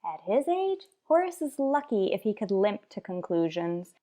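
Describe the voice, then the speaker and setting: A woman playing a wry, sardonic high school English teacher in an old-time radio sitcom, delivering dry wisecracks. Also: big pitch swings, normal speed, dark tone, lightly acted. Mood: dry teasing.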